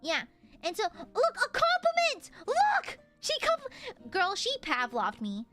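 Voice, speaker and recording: High, lively young woman with a clear voice. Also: slow, over music. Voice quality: clear, slightly nasal. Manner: animated, laughs often. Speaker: streamer. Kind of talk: casual chat.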